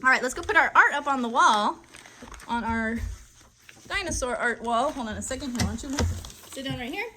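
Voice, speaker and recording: Upbeat lady doing a kids live show, high bright voice, friendly n chatty. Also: brisk, a little hiss or hum. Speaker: children's puppet show host. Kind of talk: casual live children's show presenting.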